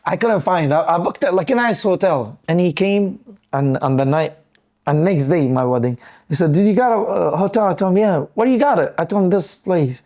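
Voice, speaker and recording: A man's voice: high voice, lively intonation, a little fast, a little mellow. A man hosting a casual podcast, telling stories animatedly in loose, non-standard English and saying "bro" often. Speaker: podcast host, barbershop owner. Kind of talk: casual storytelling chat.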